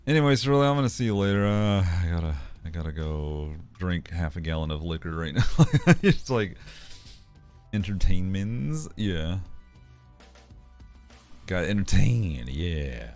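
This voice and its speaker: A man's voice: low-pitched, animated, measured pace, a little mellow, soft background music. A man chatting casually on a livestream, joking loosely and laughing often. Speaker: streamer.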